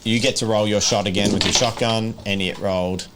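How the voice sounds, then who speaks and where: A man's voice: lowish voice, fairly even intonation, fairly quick, noisy background. A man acting as game master of a tabletop roleplay game, casually explaining rules and vividly narrating gory action.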